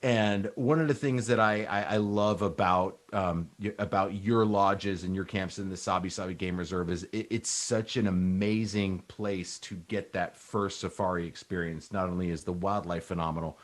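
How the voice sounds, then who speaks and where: A man with a fairly low voice hosts a casual interview podcast. His delivery is informal and slangy, like chatting with an old friend. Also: fairly even intonation, normal speed, hardly any pauses. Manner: informal, slangy, mild swearing. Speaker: podcast host.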